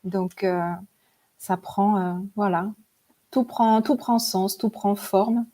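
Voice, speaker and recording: Chatty woman in her forties, mellow tone. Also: mid-range voice, fairly even intonation, slow. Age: 40s. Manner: chatty, hesitant with many fillers, laughs often. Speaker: guest, spiritual author and teacher. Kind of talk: casual interview storytelling.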